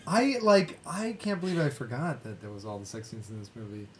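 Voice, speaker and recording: Male podcast host, casual and meandering film chat, mid-range voice, background rumble and noise. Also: a little fast.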